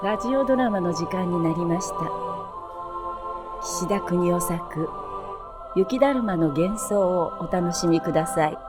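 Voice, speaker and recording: A woman playing a poised radio announcer, introducing programmes in a polite, measured, formal way. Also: on the low side, lively intonation. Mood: composed, formal. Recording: piano music over the voice. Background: prominent piano music.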